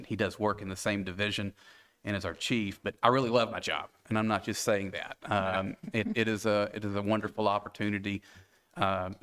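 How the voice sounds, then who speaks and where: A man in his forties with a Tennessee accent, speaking earnestly with halting restarts as an applicant at a town board meeting. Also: on the low side, a bit bright, clear, resonant tone. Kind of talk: interview for a board appointment.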